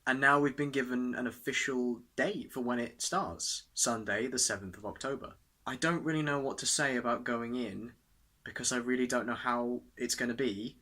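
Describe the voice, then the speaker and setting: A man's voice: mid-range voice, steady tone, moderate pace, bright, forceful tone. A young adult man chatting casually to camera in a vlog. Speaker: vlogger.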